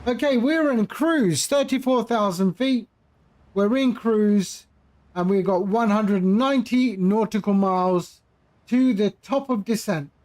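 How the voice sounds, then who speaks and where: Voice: medium pitch, a little slow, darkish tone. A flight simulator streamer giving casual live commentary, patiently explaining and repeating phrases while chatting with viewers.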